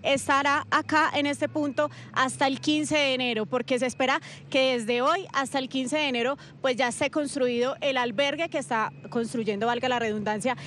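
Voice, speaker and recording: High-voiced woman field reporter outdoors. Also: a bit bright, slight background noise. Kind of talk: on-location news report and street interviews. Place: large outdoor camp field.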